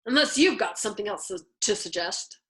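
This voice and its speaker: A woman's voice: mid-range voice, lively intonation, a little fast, fairly bright tone, lightly acted, low-quality recording. A woman voicing a pompous, insecure male colonel in a storybook, blustering and indignant. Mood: curt, distrustful.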